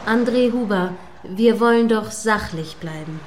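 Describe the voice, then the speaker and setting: A stern female news presenter in a radio play, acted by a woman. She has a mid-range voice and speaks in formal, well-articulated sentences. She comes across as prim, combative and sceptical, quick to correct. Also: mid-range voice, a little hiss or hum, lightly acted. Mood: indignant, sharp.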